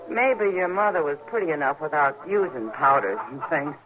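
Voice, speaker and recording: An actress playing a frank, warm-hearted American saloon woman in an old-time radio Western. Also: deep voice, expressive, a little slow, rough recording. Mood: gentle, wistful. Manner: wry, frank. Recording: vintage radio drama with music.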